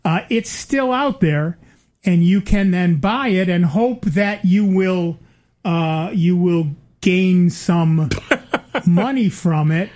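A man's voice: high voice, takes it slowly, a little mellow. An older man hosting a casual chat, rambling with many fillers and restarts and dry asides.

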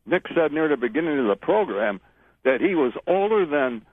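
A man in his eighties with a Western New York accent, calling in to a radio show and talking in a halting way. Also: fairly high pitch, sing-song, slight background noise. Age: 80s. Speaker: caller. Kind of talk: casual call-in chat. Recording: muffled phone line.

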